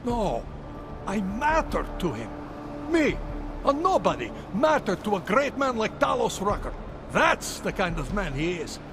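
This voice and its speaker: A man's voice: high voice, wildly swooping pitch, measured pace, music in the background, exaggerated voice. An actor playing an earnest, loyal augmented man who guards a rebel group's door in a video game cutscene. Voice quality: earnest, admiring, reflective.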